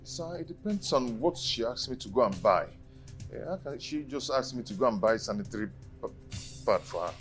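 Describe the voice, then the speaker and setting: Indignant Nigerian houseboy with animated intonation male actor. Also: medium pitch, a little fast, faint music behind, close to an everyday voice. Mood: defensive, cowed. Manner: indignant, repetitive, stammering protests. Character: aggrieved young male house servant, proud and protesting. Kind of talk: film drama acting.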